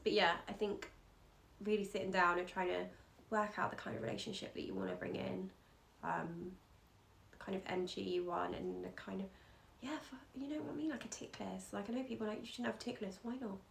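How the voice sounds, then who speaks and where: Woman w lots of vocal fry giving chatty advice, informal, echoey room sound. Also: mid-range voice, fairly even intonation, fairly quick, a bit bright. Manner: informal, checks with tag questions. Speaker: astrologer, podcast guest. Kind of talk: casual advice-giving chat. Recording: roomy echo, faint background noise.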